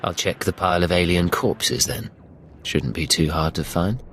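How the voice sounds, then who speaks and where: An actor playing a cool, confident imperial secret agent in a video game, terse and controlled. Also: male voice, deep voice, moderate pace, fairly bright tone, slight background noise. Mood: cool, commanding. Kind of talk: video game character acting.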